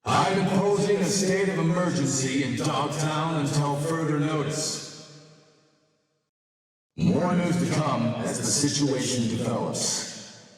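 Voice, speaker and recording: Menacing warlord over echoing loudspeakers. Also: male voice, on the high side, loud music behind the voice. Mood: stern, commanding. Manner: commanding, deliberate, threatening, speechifying. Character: hard, menacing warlord ruling a lawless city district. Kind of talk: scripted game voice acting, public announcements. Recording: echoing public-address announcement, distant, reverberant.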